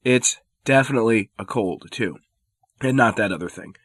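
A man's voice: fairly low pitch, moderate pace, a bit bright, no echo, studio quality. A man with a head cold and a stuffy voice, hosting his own commentary show, arguing his points firmly with the odd sardonic aside. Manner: firm, argumentative, occasionally sardonic. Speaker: commentary podcast host. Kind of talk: spoken opinion commentary.

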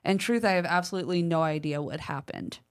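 A woman's voice: lowish voice, fast talker. Chatty woman podcast co-host. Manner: chatty, uses fillers like "like" and "um". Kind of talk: casual podcast chat, reading listener mail aloud.

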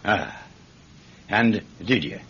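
An actor playing an impatient, dry-witted Irish judge in a classic radio drama, brusque and authoritative. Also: male voice, fairly low pitch, lively intonation, drawn-out words, a bit bright, slightly rough, exaggerated voice. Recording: dull vintage radio recording.

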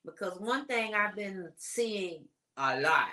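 A woman in her forties co-hosting a casual relationship talk show, explaining her points slowly and laughing often. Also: mid-range voice, expressive, pushed and bright, poor audio. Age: 40s. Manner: slow, deliberate, laughing storyteller. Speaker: podcast co-host.

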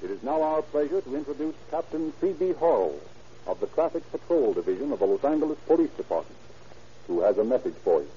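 An American man making scripted, deliberate, declamatory announcements and narration in 1930s radio style. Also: mid-range voice, big pitch swings, mellow, slight background noise. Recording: dull 1930s radio recording with music.

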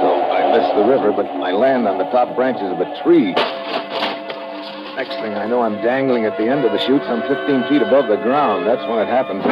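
A man playing a tough, wary American adventurer in an old-time radio drama, narrating and talking in a clipped, slangy, hard-boiled manner. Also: deep voice. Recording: muffled, very noisy vintage radio recording.

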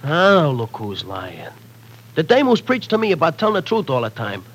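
A man's voice: fairly high pitch, wildly swooping pitch, normal speed, a bit bright, husky, exaggerated voice. An actor playing a brash, streetwise young man who talks in slangy tough-guy wisecracks, in 1940s radio acting style. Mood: bitter.

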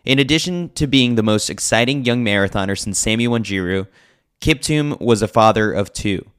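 A man's voice: fairly low pitch, lively intonation, normal speed, fairly bright tone, smooth. A man hosting a podcast, reading a scripted newsletter as narration.

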